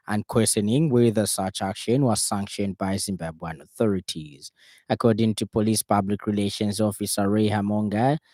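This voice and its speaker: A man's voice: fairly low pitch, warm tone, no echo. A man with a Zambian accent reading a formal radio news bulletin as a news reader.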